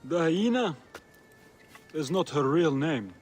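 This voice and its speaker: A man playing a sly, theatrical market trader in a video game, husky and showy in his delivery. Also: on the high side, animated, slow, a little mellow, soft background music. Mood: sly, haggling. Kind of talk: video game cutscene acting.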